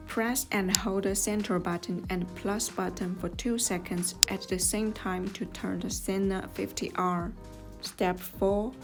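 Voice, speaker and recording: A woman reading scripted step-by-step instructions as a tutorial narrator, in a measured, neutral manner. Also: mid-range voice. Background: music.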